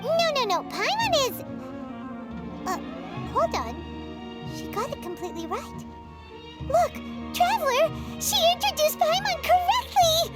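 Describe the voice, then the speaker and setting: A tiny floating game sidekick, very high and squeaky, swings wildly in pitch, chatty and eager, over bright game music. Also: female voice, sing-song, measured pace, long pauses, exaggerated voice. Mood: flustered. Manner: refers to herself in the third person, bouncy and eager. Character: tiny floating female companion, excitable and chatty. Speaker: game character.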